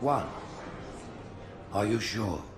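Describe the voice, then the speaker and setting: A man's voice: fairly low pitch, lively intonation, very slow. A man voicing a stern, regal alien prophet, solemn and oratorical, in a video game cutscene. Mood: stern, measured. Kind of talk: cinematic video game acting. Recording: loud music behind the voice.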